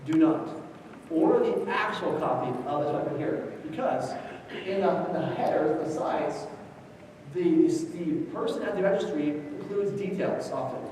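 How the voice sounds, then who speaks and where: High-voiced man lecturing in an echoing hall. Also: measured pace, darkish tone. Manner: conversational, short choppy phrases, personal anecdotes. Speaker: genealogy conference presenter. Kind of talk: informal instructional lecture on genealogy. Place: large echoey indoor room, live talk. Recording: echoey hall, distant mic, faint noise.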